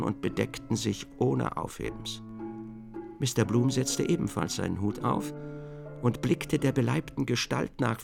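An actor playing the sober narrator of a radio drama, reading literary prose in a measured, deliberate, gravelly voice. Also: male voice, medium pitch.

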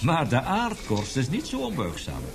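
An actor in a radio play playing an embittered professor, lecturing emphatically with scorn and grave warning. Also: male voice, mid-range voice, wildly swooping pitch, moderate pace, vocal fry, music in the background. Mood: explaining gravely.

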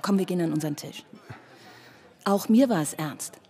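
A woman's voice: lowish voice, expressive, normal speed, dark tone, husky, some chatter behind. A woman playing a cool, self-possessed city woman in a radio drama, composed and wry. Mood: composed, firm. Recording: music behind the voice.

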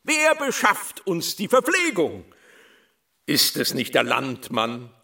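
An actor playing a pompous official giving a bombastic, declamatory public speech to farmers in a radio drama. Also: male voice, fairly high pitch, wildly swooping pitch, slow pace, creaky, pushed and bright, exaggerated voice. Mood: rhetorical, self-important. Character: pompous male official orator addressing farmers. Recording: distant, off-mic public address.

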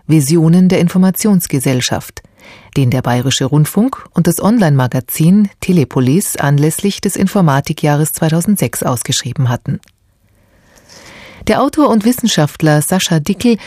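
A woman's voice: low voice, warm tone, no echo. A woman radio announcer reading a scripted programme introduction in a studio. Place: dry studio.

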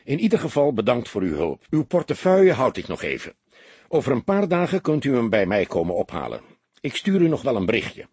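A man voicing a weary, dutiful veteran police detective with a dry, deadpan and pensive manner, in an audiobook. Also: mid-range voice, brisk, husky, dry recording. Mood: calm, kindly.

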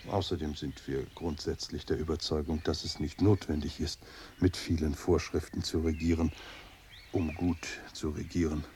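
Deep, mellow, serene sage. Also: male voice, little pitch movement, slight background noise. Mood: serene, courteous. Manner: measured, formal, didactic. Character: serene, courteous old male lamasery host. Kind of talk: German radio drama acting.

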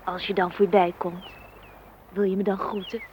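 A woman's voice: mid-range voice, little pitch movement, normal speed, close to an everyday voice. A woman playing a forlorn, plaintive blade of grass in a poetic radio play. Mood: pleading. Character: forlorn blade of grass, alone in a mown field. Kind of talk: Dutch radio play acting. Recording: dull, faintly noisy old radio recording.

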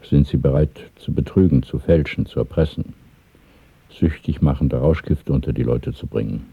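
Very deep, gravelly underground leader. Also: male voice, no room sound, narrow, tinny audio, exaggerated voice. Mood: stern questioning. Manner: formal, deliberate, coolly interrogating. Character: grave, authoritative male conspirator recruiting for a secret organisation. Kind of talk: 1970s German radio drama acting. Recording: thin, band-limited old radio play recording.

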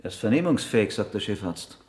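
An actor playing a persistent police detective in a German radio drama, questioning in measured, insistent short sentences. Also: male voice, fairly low pitch, very animated, darkish tone, husky. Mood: probing, dry. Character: probing, persistent male police detective inspector.

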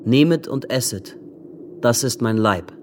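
An actor playing a solemn, prophetic teacher in a German radio drama, with grave, measured declamation. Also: male voice, mid-range voice, animated, background noise, lightly acted.

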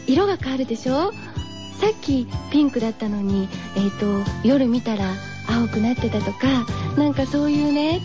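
High-pitched girlish woman radio host. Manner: gentle, girlish musing with rhetorical questions to listeners. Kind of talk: chatty solo radio talk, reading listener postcards.

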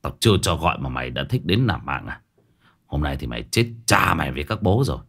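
A man's voice: extremely low pitch, very animated, fast, creaky, fairly bright tone, husky, voice pushed down, full character voice. A man voicing a stern young male shaman who interrogates harshly in clipped phrases. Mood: menacing.

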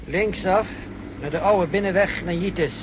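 An actor playing a controlling, tight-lipped secret-service escort in a 1960s radio drama, giving terse, clipped orders. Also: male voice, normal speed, lightly acted. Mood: curt, commanding. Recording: noisy old radio recording, car rumble.

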